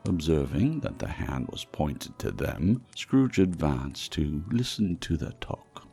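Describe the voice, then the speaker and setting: Male audiobook narrator, low and husky, dramatic storytelling, music underneath. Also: very animated, takes it slowly, mellow. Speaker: audiobook reader. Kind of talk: dramatic scripted narration of a classic ghost story.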